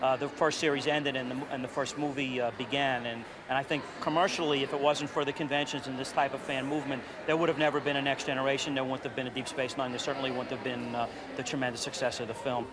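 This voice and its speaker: Fast-talking man in a noisy hall. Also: medium pitch, flat delivery. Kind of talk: interview answer. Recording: noisy busy convention hall.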